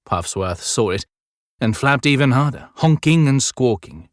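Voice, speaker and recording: A man's voice: medium pitch, lively intonation, measured pace, no room sound, clean studio sound. A man narrating an audiobook in a scripted, dramatic storytelling style.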